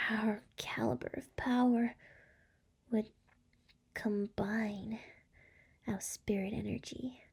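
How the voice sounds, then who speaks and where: Breathy, slow seductive demon lady played by a woman. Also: on the high side, exaggerated voice. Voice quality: breathy, often whispering. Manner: slow, soft, coaxing. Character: seductive, tender female demon lord, a succubus. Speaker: ASMR roleplay voice actress. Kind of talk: scripted ASMR roleplay, intimate address to listener.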